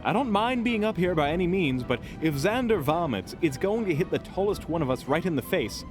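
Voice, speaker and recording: A man's voice: on the high side, animated, fairly quick, music in the background, natural voice. A man as a dry, swooping, refined adventurer. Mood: dry complaint. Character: tall, dry-witted, fussy, refined male adventurer. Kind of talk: fantasy audio drama acting.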